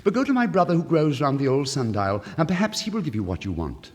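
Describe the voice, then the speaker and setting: A man voicing a grave male rose tree in a fairy tale, with solemn, measured 1950s storytelling delivery. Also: on the high side, very animated, pitched above the performer's own voice. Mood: stately. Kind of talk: 1950s literary story record acting. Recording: vintage vinyl record, long reverb.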